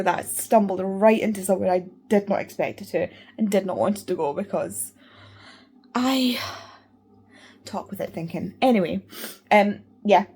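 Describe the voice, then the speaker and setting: A Scottish woman with a Scottish accent, talking casually and rambling about a book, often correcting herself and filling pauses with "like" and "um". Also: mid-range voice, a little mellow. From Scotland. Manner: rambling, self-correcting, many fillers. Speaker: book vlogger. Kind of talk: casual chat.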